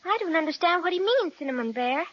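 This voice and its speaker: A woman's voice: very high-pitched, expressive, fast talker, dull audio. A woman playing a timid, sweet little girl in a children's audio serial, with an earnest, plaintive, childlike way of talking. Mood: polite, curious. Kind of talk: children's radio serial acting.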